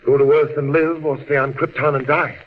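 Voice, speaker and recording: A man's voice: on the high side, fairly even intonation, fairly quick, close to an everyday voice. A man acting in a 1940s radio drama, playing an earnest alien scientist and father facing doom, grave and theatrical. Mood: grave, reassuring. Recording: dull, very noisy old radio recording.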